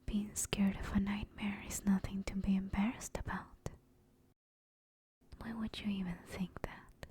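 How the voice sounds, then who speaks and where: A woman playing a gentle, affectionate sleep paralysis demon in a soothing, reassuring whispered ASMR comfort roleplay. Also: medium pitch, flat delivery, lightly acted. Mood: tender, reassuring. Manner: soft, slow, soothing, reassuring.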